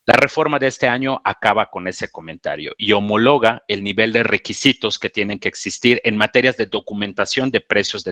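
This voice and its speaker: A man's voice: lowish voice, pushed and bright, dry recording. A Mexican man moderating a webinar panel in a conversational, explanatory way and drawing in his co-panelists. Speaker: webinar moderator and tax expert.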